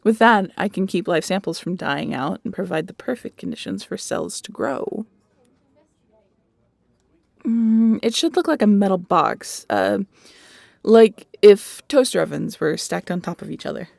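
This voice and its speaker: A woman voicing a snarky, excitable young scientist in an audio roleplay, chatty and nerdy. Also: mid-range voice, a little slow, creaky, no echo. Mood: nerdy, explaining. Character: snarky, excitable young female scientist aboard a pirate ship. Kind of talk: audio roleplay acting addressed to the listener.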